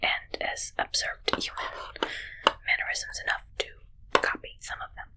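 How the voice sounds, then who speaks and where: A woman playing a puzzled, anxious spaceship medic in a whispered, breathy ASMR roleplay, with halting, literal, repetitive phrasing. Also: natural voice. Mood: curious, clinical. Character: puzzled, anxious female ship medic examining for aliens.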